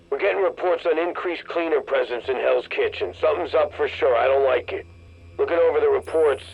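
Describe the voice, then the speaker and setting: An actor playing a gruff, foul-mouthed military field commander in a video game, blunt and streetwise. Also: male voice, mid-range voice, dull audio, close to an everyday voice. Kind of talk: dramatic video game acting.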